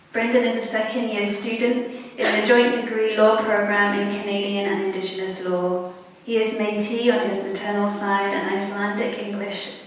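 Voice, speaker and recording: A woman moderates an event and introduces each speaker in turn at a measured pace. Her voice comes from far off in a large echoing hall with a long reverb tail. Faint noise sits behind her. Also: mid-range voice.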